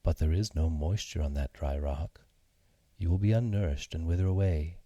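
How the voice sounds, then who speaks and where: A calm, practical storybook cat played by a man, low in pitch. He speaks bluntly and with measured care. The recording is dry and close. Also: dry recording, muffled recording. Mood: warning.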